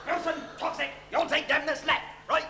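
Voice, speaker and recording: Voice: high-pitched, flat delivery, gravelly, exaggerated voice. A performer in an amateur pantomime playing a blustering military captain who barks absurd orders theatrically on stage. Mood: commanding. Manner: theatrical, commanding, drawn-out shouting. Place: theatre stage before an audience. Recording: echoey noisy stage recording, background music.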